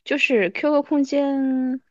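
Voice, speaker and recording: A woman in her thirties hosting a podcast, chatting casually and reminiscing in an animated, self-deprecating way. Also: high voice, takes it slowly, dry recording, muffled recording. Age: 30s. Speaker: podcast host.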